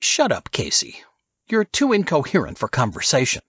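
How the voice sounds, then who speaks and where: Calculating spymaster read by a male narrator: pitched up, condescending, instructive, dry audiobook sound. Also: huge pitch swings, moderate pace, fairly bright tone, slightly rough, no echo, highs cut off, heavily acted. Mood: curt.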